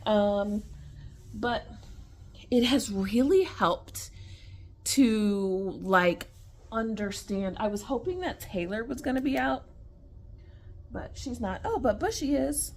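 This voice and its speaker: A woman's voice: a little high, slow, pauses often. A woman chatting casually to camera at home while showing her pet tarantulas, at times dropping to a whisper. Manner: chatty and animated, addresses viewers as y'all, drops to whispers near the spiders. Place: home room with tarantula enclosures.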